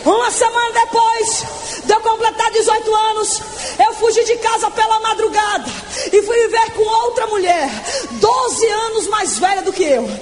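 A woman in her twenties preaching her testimony in a fervent, shouting evangelical sermon, stretching words for emphasis. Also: very high voice, normal speed, soft background music. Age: 20s.

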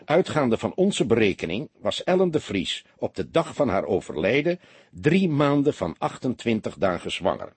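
A man voicing an older, experienced police detective in an audiobook, a patient mentor who explains deliberately with mild reproach. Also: mid-range voice, very animated, breathy edge, no room sound. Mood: lecturing. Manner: didactic, deliberate, mildly reproachful. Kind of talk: audiobook character dialogue.

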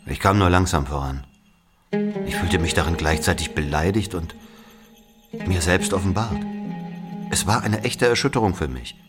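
A man's voice: low-pitched, moderate pace, long pauses, over loud music, natural voice. A man playing a brooding Algerian narrator who recounts his life in a literary audio drama. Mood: shaken, brooding. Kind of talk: dramatic first-person narration.

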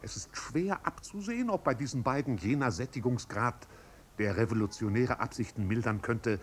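Male actor for fussy, loyal butler in a vintage radio play; ornate formal delivery, very lively intonation. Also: medium pitch, normal speed, slight background noise, natural voice. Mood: grave, formal. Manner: stilted, elaborately formal, deferential. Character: fussy, loyal, pompous manservant of a marquis. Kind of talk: 1950s German radio play acting.

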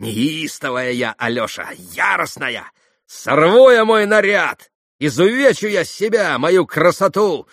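Storybook role: proud, volatile young woman, played by a man, shrill and high, swinging between spite and anguish. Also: lively intonation, unhurried, dry recording, studio quality, natural voice. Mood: frenzied rage.

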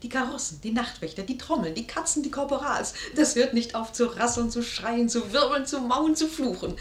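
An actress playing a lively, complaining young lady's maid in a 1950s German radio play, theatrical and emphatic. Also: fairly high pitch, fairly quick, natural voice. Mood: exasperated. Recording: roomy, noisy 1950s radio recording.

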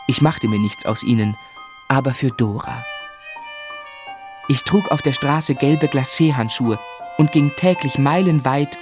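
An actor plays a sentimental young gentleman telling his own story in a radio drama. His mid-pitched voice has a wry, literary manner, with faint music behind it. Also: natural voice. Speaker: first-person narrator. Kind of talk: scripted narration in German radio drama.

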